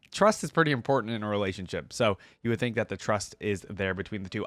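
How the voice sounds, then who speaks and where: A man's voice: fairly low pitch, no echo. A man hosting a reaction video, chatting casually and thinking aloud as he rambles on and addresses his viewers directly. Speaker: YouTube reaction host. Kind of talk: casual chatty game-lore commentary to camera.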